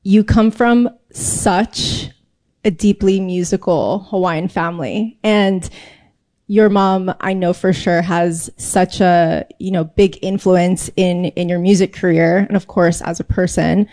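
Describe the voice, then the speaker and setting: A woman's voice: on the low side, fairly even intonation, slow pace, warm tone. A woman hosting a casual interview, speaking informally and effusively with plenty of "like" as filler. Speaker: interviewer, host.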